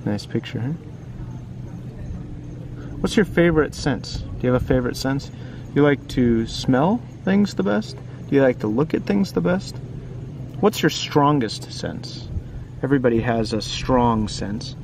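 A man's voice: mid-range voice, animated, unhurried, long pauses, noisy background. A man doing a casual read-aloud for children, chatting informally and often asking the listener questions. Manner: informal, asks the listener frequent questions and tag questions. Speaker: children's book reader and teacher.

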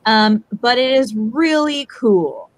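Young woman, slightly high, dry webcam sound; loose, rambling seller chat. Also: takes it slowly, no room sound. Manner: chatty, rambling, heavy use of "like" and "um". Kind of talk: casual live-stream chat. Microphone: webcam video call.

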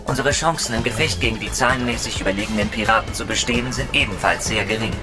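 An actor playing a loyal robot sidekick in a retro sci-fi audio drama, formal, precise and stilted. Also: male voice, on the low side, quick pace, close to an everyday voice. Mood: matter-of-fact. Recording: loud music and engine noise behind.

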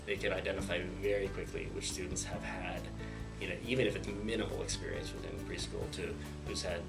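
Gravelly American man in his thirties. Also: deep voice, lively intonation, brisk, low-quality recording. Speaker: elementary school principal. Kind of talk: interview testimonial. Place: office with whiteboard, indoors. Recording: clear music behind the voice.

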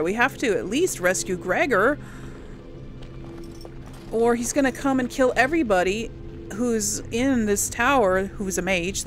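Slow-paced woman doing gaming commentary. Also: medium pitch. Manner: informal, thinking aloud. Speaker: gaming video host and player. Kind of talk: casual role-play gaming commentary.

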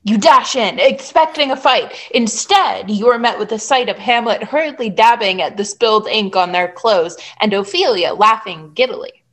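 A woman's voice: fairly high pitch, normal speed. A woman game master narrating a scene in scripted second person.

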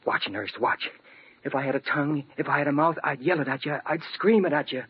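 A man's voice: on the high side, a little fast, close to an everyday voice. An actor playing a bedridden man who cannot speak, pleading in his thoughts in an old radio drama. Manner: pleading, breaking into anguished outbursts. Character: mute bedridden wounded man, desperate inner voice. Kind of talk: 1940s radio drama inner monologue. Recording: muffled old radio recording with hiss.

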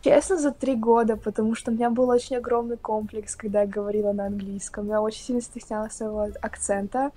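A young woman from Russia chatting casually on a video call, talking with lively hand gestures. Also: a little high, quick pace, hardly any pauses. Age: young adult. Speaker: guest. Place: indoor room, plain wall.

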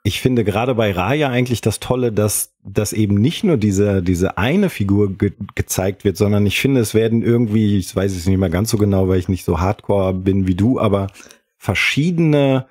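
A man's voice: fairly low pitch, moderate pace, a little mellow, husky. A man on a podcast panel, talking in a casual chat style.

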